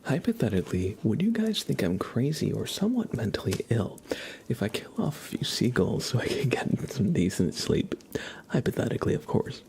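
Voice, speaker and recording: A man hosting an ASMR livestream, chatting casually with viewers in a soft, breathy whisper, rambling and gently playful. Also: medium pitch, very animated, moderate pace, a little hiss or hum.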